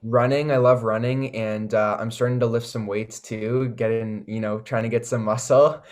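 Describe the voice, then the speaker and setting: A man with a Canadian accent giving casual, enthusiastic interview answers, often pausing with "like" and "you know". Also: medium pitch, level tone, darkish tone. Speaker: recording artist, interview guest.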